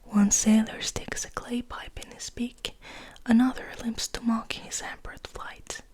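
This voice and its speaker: A woman whispering softly in an ASMR style, reading and rambling in a hushed, unhurried, gentle way. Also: fairly high pitch, flat delivery. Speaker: ASMR host.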